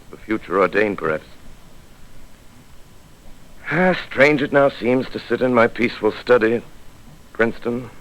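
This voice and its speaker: Deep, forceful, grave scholarly survivor. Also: male voice, rough recording. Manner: grave, measured, theatrical, reflective. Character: solemn, weary scholarly man, survivor of invasion. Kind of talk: 1930s radio drama narration.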